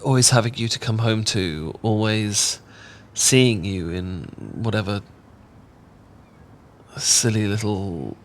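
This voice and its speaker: A British man playing a shy, tender roommate in a romantic ASMR audio roleplay, speaking in a gentle, halting, earnest way. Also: fairly low pitch, a little hiss or hum. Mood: nervous, earnest. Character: shy, tender male roommate confessing love.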